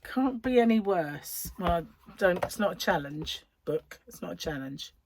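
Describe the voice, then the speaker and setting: A woman's voice: lowish voice, expressive, normal speed, darkish tone, slight background noise. A woman hosting a podcast, chatting casually and rambling as she thinks aloud. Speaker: podcast host. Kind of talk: casual chat.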